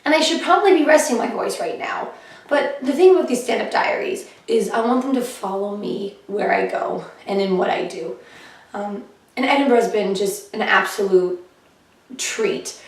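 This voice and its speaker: Hoarse woman vlogging in an echoey room. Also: mid-range voice, animated, moderate pace. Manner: chatty and upbeat, with fillers. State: slightly hoarse, clearing throat often. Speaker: comedian vlogging her diary. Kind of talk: casual vlog monologue. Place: living room of a flat, indoors. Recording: echoey room, heard from a distance.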